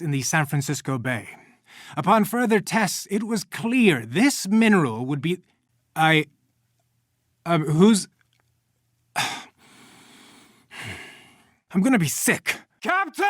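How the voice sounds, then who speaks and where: A man's voice: high voice, fairly bright tone, dry recording, studio quality, exaggerated voice. An actor playing an earnest, anxious male scientist and company chief in a video game, nervy but articulate. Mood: nervous, queasy, then alarmed. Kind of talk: video game character acting.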